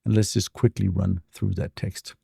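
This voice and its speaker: A man walking through a software demo in a relaxed, explanatory way, with frequent fillers, speaking close to a desk mic. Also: sits low, moderate pace, vocal fry, breathy edge, no echo. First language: not English. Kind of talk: screen-share tutorial walkthrough.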